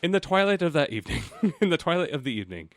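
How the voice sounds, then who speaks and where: A man's voice: a little high, big pitch swings, very fast. A man reading a storybook as a comedy host, breaking off for casual, sarcastic asides. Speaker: host and narrator of parody audiobook show.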